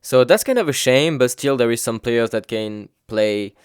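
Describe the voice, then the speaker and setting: A man's voice: mid-range voice, expressive, moderate pace, clean tone, no room sound, studio quality. A man from France with a French accent, a native French speaker, chatting casually and informally as a featured gamer. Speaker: gamer and video editor, featured guest. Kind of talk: casual chat about gaming.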